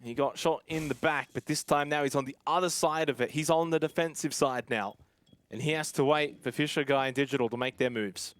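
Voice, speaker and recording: Excitable male esports caster. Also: on the high side, fairly quick, smooth, no echo. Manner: excitable play-by-play that rises to shouts in big moments. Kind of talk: live esports commentary.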